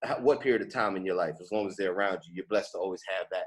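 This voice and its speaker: A man's voice: low-pitched, very fast. A man hosting a casual podcast interview, effusive and slangy in the way he talks. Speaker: podcast host.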